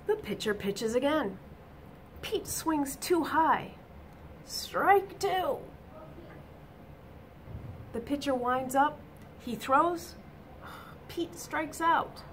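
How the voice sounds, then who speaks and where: A middle-aged woman reads a children's story in a fairly high voice. Her delivery is very animated and unhurried, stressing exclamations for young listeners. There is a faint noise in the room. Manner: expressive, deliberate, emphatic exclamations. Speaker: reader of a children's picture book. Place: small indoor office or classroom.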